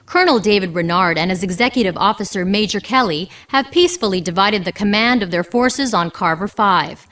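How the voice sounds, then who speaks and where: A woman's voice: mid-range voice, lively intonation, a little fast, few pauses, a bit bright, close to an everyday voice. An actress playing a serious, composed female news anchor reading scripted reports in a game.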